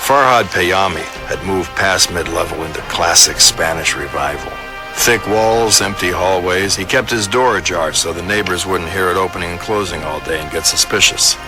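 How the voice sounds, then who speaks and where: A man playing a worldly police detective, giving a deadpan, matter-of-fact hardboiled voice-over. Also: sits low, normal speed, music in the background. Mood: dry, knowing. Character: terse, worldly-wise male police detective narrating.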